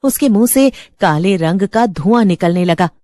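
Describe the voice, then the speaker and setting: A woman's voice: mid-range voice, animated, moderate pace, dark tone, dry recording, clean studio sound. A woman narrator reading a scripted horror story in a dramatic storytelling style.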